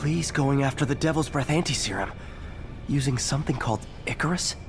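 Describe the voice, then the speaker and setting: A man's voice: medium pitch, fairly quick, over music, close to an everyday voice. A man playing an earnest young superhero in a video game, thinking aloud in a conversational way. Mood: puzzled, focused. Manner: conversational, musing to himself. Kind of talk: naturalistic video game acting.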